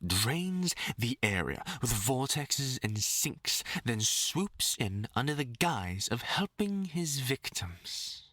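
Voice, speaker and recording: Male actor as polite British magician for urban fantasy audio drama; bright, lively delivery, formal and apologetic. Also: medium pitch, slow, hardly any pauses, no echo, clean studio sound, heavily acted. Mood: concerned, grave. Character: courteous male magician, apologetic rescuer patiently explaining magical danger. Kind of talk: character audio drama monologue.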